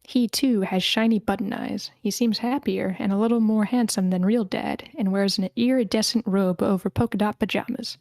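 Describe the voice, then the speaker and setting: A woman's voice: mid-range voice, fairly even intonation, normal speed, few pauses, darkish tone, no echo. Dry-sounding woman reading stage directions. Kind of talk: scripted narration at a screenplay table read.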